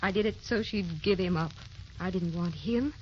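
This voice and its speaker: An actress playing a gentle, youthful woman with a secret sorrow, tender and wistful, in old-time radio drama style. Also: lowish voice, normal speed, a little hiss or hum, natural voice. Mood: quietly confessing. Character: youthful, gentle, secretly sorrowful wife posing as a distant cousin. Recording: dull old radio recording, music behind.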